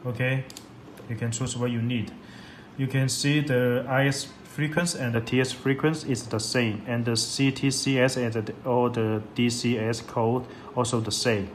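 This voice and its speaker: A man demonstrating a product in a step-by-step tutorial, speaking halting, repetitive non-native English. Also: medium pitch. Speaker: product demonstrator for a radio seller. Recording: echoey room, loud background noise.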